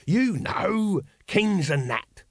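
A man's voice: sits high, sing-song, extremely slow, no echo, clean studio sound, voice pushed up, full character voice. A man voicing a cheerful, pushy street vendor in a storybook, with wheedling sales patter. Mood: patient, preachy. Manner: whining, hawking sales patter.

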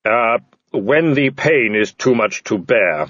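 A man voicing a calm, polite, literal-minded supernatural reaper in an audiobook, speaking in a measured, formal, deadpan way. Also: mid-range voice, no room sound, dull audio. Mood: deadpan, courteous.

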